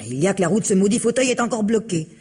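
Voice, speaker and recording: An actress playing a bitter, suspicious wife in a wheelchair, sarcastic and querulous, in old French radio drama style. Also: female voice, mid-range voice, racing, darkish tone, breathy edge, big-room sound. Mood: querulous, irritated.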